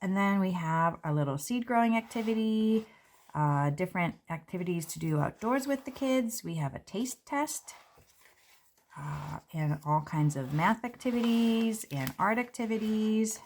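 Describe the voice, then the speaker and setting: A woman, a children's book author, reading her picture book aloud and walking through its pages in a gentle, explanatory way. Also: medium pitch, animated, a little slow, a little hiss or hum. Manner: gentle, explanatory, with light fillers. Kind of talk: read-aloud and book walkthrough. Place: indoors at a table.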